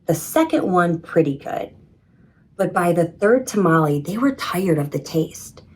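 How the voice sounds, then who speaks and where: A woman reading a children's story in an expressive, measured storytelling manner. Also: lowish voice. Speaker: storybook reader. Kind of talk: scripted children's story narration.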